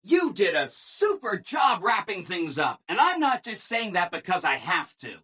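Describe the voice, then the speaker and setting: Game robot assistant: bright, very animated, ingratiating, relentlessly upbeat people-pleaser. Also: male voice, sits high, unhurried, breathy edge, exaggerated voice. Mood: upbeat, eager. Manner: chipper, ingratiating, emphatic, apologetic people-pleaser. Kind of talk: scripted video game dialogue.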